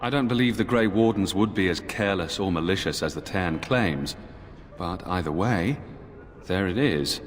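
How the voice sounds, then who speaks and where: Deep, echoing man acting a stern knight commander. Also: lightly acted. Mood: grave, cautious. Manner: formal, measured, grave. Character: stern, dutiful templar knight commander, wary but kindly. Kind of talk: fantasy video game voice acting. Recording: echoing hall, music behind.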